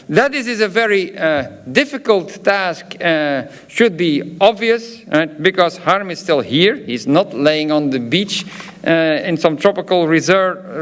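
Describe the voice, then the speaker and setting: A man's voice: fairly high pitch, a bit bright. A man from Belgium with a Flemish accent, a professor giving a graduation ceremony speech, hesitant and full of filler sounds, with chatty asides. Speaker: professor presenting diplomas.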